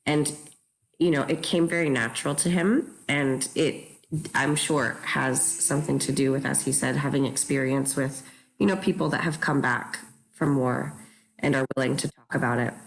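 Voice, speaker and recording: A woman's voice: very deep voice, steady tone, normal speed, gravelly, low-quality recording. A woman speaking reflectively in a panel discussion, as a play's director. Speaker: play director. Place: virtual video call.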